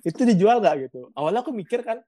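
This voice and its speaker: A young woman from Bali talks casually as a podcast guest, filling her sentences with small hesitations and laughs. Her voice sits at a middle pitch. It is recorded dry and close. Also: expressive, very fast, warm tone, dry recording. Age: young adult. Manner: chatty, many fillers, laughs often. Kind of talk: casual chat.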